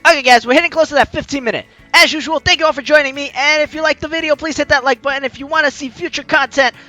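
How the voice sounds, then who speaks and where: A man hosting a game playthrough, reading visual novel lines aloud in an expressive, playful, theatrical way. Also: very high-pitched, quick pace, no room sound, soft background music.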